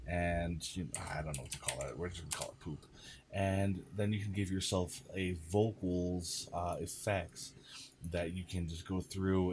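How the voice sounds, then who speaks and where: Very low-voiced man, casual tutorial. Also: darkish tone, slight background noise. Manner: informal, addresses viewers as guys. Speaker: video creator, tutorial host. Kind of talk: casual software tutorial chat. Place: indoors at a computer.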